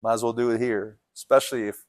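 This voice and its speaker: A man's voice: medium pitch, little pitch movement, quick pace, no room sound, clean studio sound. A man preaching a sermon as pastor, with a strong voice, urging his listeners in earnest, repeating and restarting his phrases. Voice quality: strong coach-like voice. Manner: earnest, repetitive exhortation with restarts.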